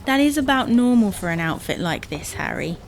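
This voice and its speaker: A woman's voice: medium pitch, sing-song, brisk, creaky, slight background noise, rough recording. A woman playing an exasperated, image-conscious wife who scolds and bosses in a domestic comedy audio drama. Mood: insistent. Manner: bossy, scolding, sarcastic.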